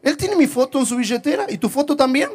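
A man from Argentina with an Argentine accent, preaching a sermon to a congregation and telling humorous stories with lively, animated delivery. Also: extremely high pitch, quick pace. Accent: Argentine Spanish. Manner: humorous, animated storytelling, shifts to whispers. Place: church before a live congregation.

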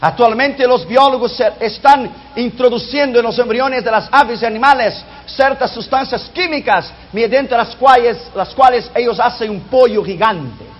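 A man preaching a sermon whose first language is not Spanish, emphatic and dropping low and soft on key lines. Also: normal speed, hardly any pauses. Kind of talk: sermon on prophecy. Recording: dull lo-fi recording.

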